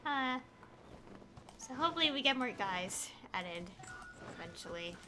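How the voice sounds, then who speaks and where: Girl streamer w high bright voice, super swoopy, rambling casually, kinda exasperated and opinionated, bit of background noise. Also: expressive, measured pace, rough recording. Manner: exasperated, opinionated, pleading emphasis. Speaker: game streamer. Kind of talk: casual rambling livestream chat.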